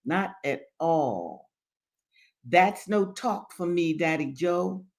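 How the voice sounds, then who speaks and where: A woman's voice: fairly low pitch, animated, takes it slowly, heavily acted. A woman voicing a defiant, embittered enslaved man in a dramatic novel reading, speaking emphatically and formally in rebuke. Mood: impatient.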